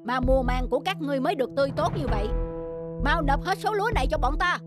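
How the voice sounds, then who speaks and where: Cartoon mountain demon, huge older-brother bully, greedy and domineering, over a music bed. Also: male voice, very high-pitched, expressive, racing. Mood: menacing, boastful. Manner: domineering, scolding. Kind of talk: cartoon voice acting. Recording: background music under the dialogue.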